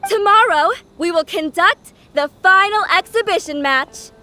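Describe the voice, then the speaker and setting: A piercingly bright, very high female announcer hypes a game tournament. She projects with showy, drawn-out drama. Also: heavily acted. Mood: excited. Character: excited female tournament announcer hyping up the crowd. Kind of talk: arena announcement in a video game.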